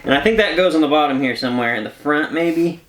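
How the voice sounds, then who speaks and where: A man's voice: fairly high pitch, quick pace, a bit bright. A man hosting an unboxing video in casual, rambling chat, often interrupting himself. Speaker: host of a collectibles unboxing channel. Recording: echoey room, some background noise.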